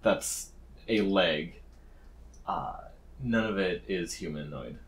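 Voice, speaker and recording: A woman with a very deep voice runs a tabletop game as game master. She moves between casual table talk and narration. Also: expressive, slow, slight background noise.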